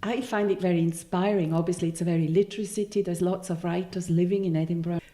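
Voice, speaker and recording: A Swiss-born woman with a Swiss German accent, a novelist answering interview questions in a thoughtful, halting way that often corrects itself. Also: fairly low pitch, fairly even intonation, mellow. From Switzerland. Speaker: novelist guest.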